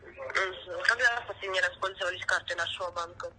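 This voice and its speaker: A woman's voice: lowish voice, a little fast, pushed and bright, a little hiss or hum. A woman posing as a bank officer on a phone call, reading a formal call-center script and pressing insistently. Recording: phone line.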